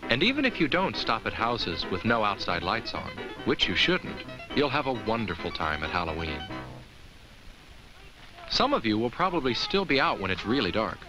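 An actor playing a kindly, earnest talking jack-o'-lantern who narrates a children's safety film with simple, reassuring explanations. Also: male voice, on the low side, big pitch swings, moderate pace, over music, low-quality recording. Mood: friendly.

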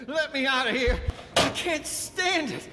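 A man's voice: extremely high pitch, very noisy. An actor playing a desperate, anguished male prisoner in an audio drama, wailing and pleading. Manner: wailing, pleading, drawn-out cries. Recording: echoing hall, loud music behind.

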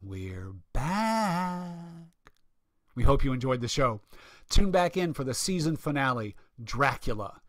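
A man's voice: mid-range voice, sing-song, slow pace, fairly bright tone, dry recording, clean studio sound. A man announcing a radio show in scripted narration.

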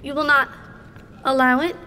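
An eager high-voiced young apprentice. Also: female voice, steady tone, moderate pace, bright, forceful tone. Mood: earnest, curious. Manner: eager, deferential, formal. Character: eager, earnest young woman apprentice scholar. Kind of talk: fantasy audio drama acting. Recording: long hall reverb, faint background noise.

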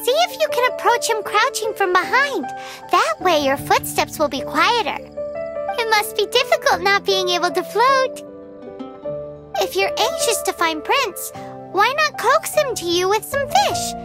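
A woman voicing a tiny, chirpy, bossy floating fairy girl companion in a video game, with a squeaky childlike voice. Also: very animated, measured pace, full character voice. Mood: helpful, chirpy. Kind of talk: video game character dialogue. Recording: game music behind the voice.

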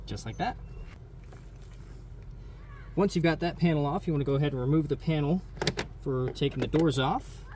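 Easygoing man, slightly high-voiced, explaining an install. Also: normal speed, long pauses, dark tone. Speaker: host of a DIY vehicle channel. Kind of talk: how-to narration of an install. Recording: noisy, vehicle ambience behind.

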